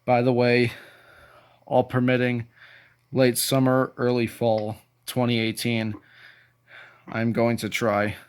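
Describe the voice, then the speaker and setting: A man talking in a deliberate, sentimental way, as in a casual show-and-tell video, sometimes reading aloud. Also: mid-range voice, completely flat delivery, clear, resonant tone. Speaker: YouTube video creator.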